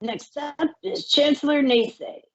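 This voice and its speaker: A woman's voice: high voice, steady tone, takes it slowly, lo-fi sound. A woman voicing a chipper young dragon who acts as court herald in an amateur fan fiction reading. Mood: formal announcing.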